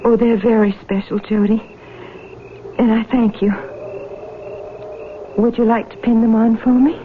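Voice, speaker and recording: An actress playing a weary, guarded young waitress and farm wife in a wistful 1970s radio drama. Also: female voice, on the high side, flat delivery, unhurried, noisy background, close to an everyday voice. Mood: tender. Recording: tinny 1970s radio broadcast, music behind.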